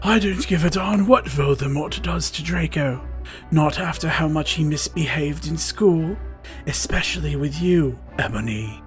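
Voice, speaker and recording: A woman voicing a grumpy, scornful old male headmaster in a loud, slow, gruff scolding manner. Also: deep voice, soft background music. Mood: contemptuous.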